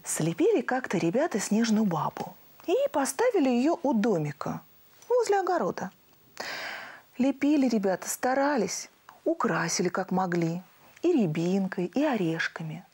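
A middle-aged woman telling a children's story as the host of a puppet show, expressive and lively. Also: fairly high pitch, moderate pace, breathy edge. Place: cozy studio set. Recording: music playing behind.

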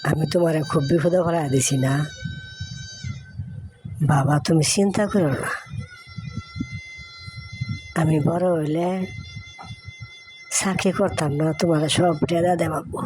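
Woman voicing a mellow, earnest young boy. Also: deep voice, fairly even intonation, normal speed, pauses often, a little hiss or hum, natural voice, low-quality recording. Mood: remorseful. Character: young injured son, apologetic, devoted and reassuring. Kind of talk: cartoon moral story dialogue.

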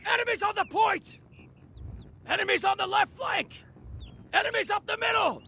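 An actor voicing a cynical, laid-back male huntsman with a raspy voice and wry humour for a video game. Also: very high voice, normal speed, pushed and bright, full character voice. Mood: urgent, commanding. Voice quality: gravelly, raspy.

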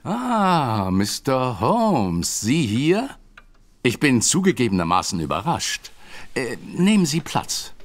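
An actor playing a scheming aristocratic villain, smooth and polite to guests but scornful and commanding behind their backs. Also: male voice, mid-range voice, wildly swooping pitch, slow pace. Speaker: Sir John. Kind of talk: radio play acting.

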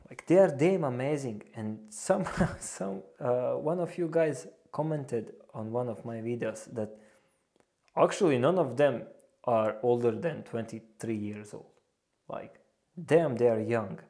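A man's voice: medium pitch, a little slow, dark tone. A young man with a Bosnian accent hosting a casual reaction video, speaking hesitantly with many fillers and restarts. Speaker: reaction channel host. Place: small indoor room with a lamp.

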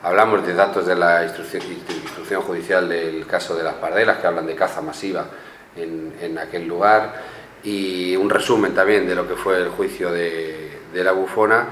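A Canarian man in his forties speaks in a very deep, measured voice with small restarts. The studio sounds roomy and echoey, with a faint hum of background noise. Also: steady tone, a bit bright. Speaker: newspaper journalist. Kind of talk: studio news chat. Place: small studio with blue backdrop.

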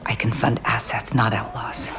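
An actress playing a shrewd middle-aged American intelligence officer, cool, guarded and businesslike, in game drama. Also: female voice, very deep voice, little pitch movement, moderate pace, a crowd in the background. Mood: cool, probing. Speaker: actor in a military game cutscene. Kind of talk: cinematic dramatic game dialogue.

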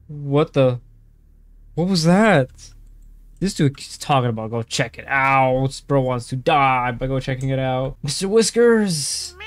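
A man's voice: a little high, darkish tone, no room sound. A young man streaming a horror game through a headset, reacting with jumpy, nervous exclamations and calling his viewers bro, his voice a little strained. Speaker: gaming streamer. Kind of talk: horror game playthrough commentary. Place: small room indoors.

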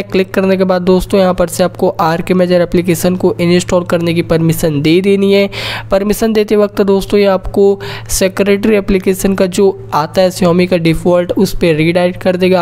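Casting: Indian-accented tutorial presenter, medium pitch, instructional step-by-step delivery for a phone how-to. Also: a little mellow. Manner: repetitive verbal address to viewers as friends. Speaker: tech tutorial host. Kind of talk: step-by-step screen-recording tutorial narration.

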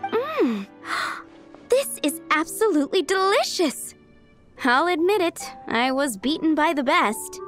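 A woman playing a good-natured village cook in a video game, with an expressive, gushing way of talking. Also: high-pitched, slow, faint music behind, heavily acted. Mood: delighted. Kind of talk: video game character acting.

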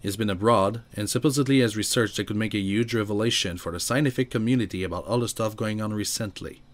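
An actor playing a weary, guarded vampire mentor in an amateur audio drama. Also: male voice, on the low side, quick pace, natural voice. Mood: dry, businesslike.

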